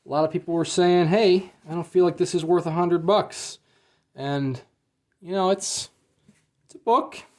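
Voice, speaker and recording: A man's voice: sits high, measured pace, long pauses. A man giving a casual, unscripted unboxing review as he goes, in a hesitant, understated and mildly let-down manner.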